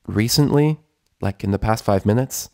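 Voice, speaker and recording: A man's voice: lowish voice, moderate pace, darkish tone, dry recording, studio quality, natural voice. A man voicing a nervous, earnest young female pony with an anxious, self-doubting manner in a story. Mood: concerned.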